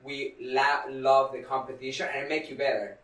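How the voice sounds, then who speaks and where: A man's voice: medium pitch, level tone, moderate pace, a bit bright. A young man co-hosting a podcast in casual chat, with loose, filler-heavy speech and non-native grammar. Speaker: podcast co-host. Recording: echoey room, far from mic.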